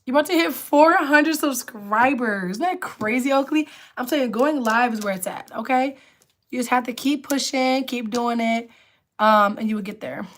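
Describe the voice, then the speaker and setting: Woman, livestream host, raspy alto, a little high, loose casual chat with viewers. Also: moderate pace. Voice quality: lower alto voice. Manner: chatty, reads and answers comments aloud. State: raspy voice from illness.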